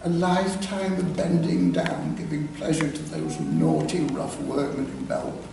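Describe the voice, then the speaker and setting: A man voicing a camp, rotund, softly spoken English gossip, confiding and genteel, in a story reading. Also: on the high side, unhurried, creaky, over music. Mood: confiding, amused. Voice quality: soft-spoken. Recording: echoey room, distant mic, background noise.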